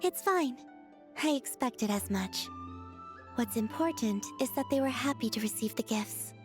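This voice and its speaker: Wistful young moon maiden, high-pitched, airy, polite, measured, video game acting, light music behind. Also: female voice, lively intonation. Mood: calm. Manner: gentle, measured, formal. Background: faint music.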